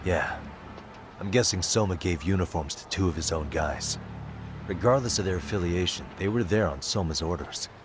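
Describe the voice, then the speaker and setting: An actor playing a level-headed detective in an English game dub, measured and explanatory as he briefs his allies. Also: low voice, noisy background, lightly acted. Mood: serious, steady.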